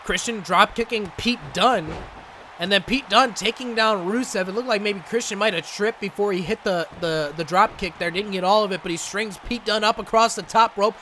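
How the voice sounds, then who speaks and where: A young man doing excitable play-by-play commentary over a wrestling video game, coughing and saying he is losing his voice. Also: high-pitched, moderate pace, a little hiss or hum. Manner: excitable, rising to shouts at big moments. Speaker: gaming channel host and commentator.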